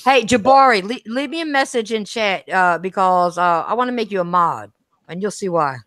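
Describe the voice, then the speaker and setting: A woman's voice: mid-range voice, expressive, moderate pace, fairly bright tone, no echo, clean studio sound. A woman hosting a casual gaming podcast, talking emphatically and repeating her points. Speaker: podcast host.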